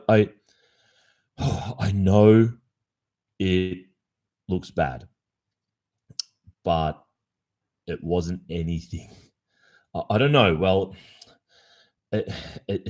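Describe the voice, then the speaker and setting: A man's voice: low voice, dark tone, full character voice. A man with an Australian accent acting a cheating, casually evasive young boyfriend in an ASMR roleplay, speaking conversationally with hesitations. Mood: panicked, pleading, then sheepish, apologetic. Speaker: roleplay performer.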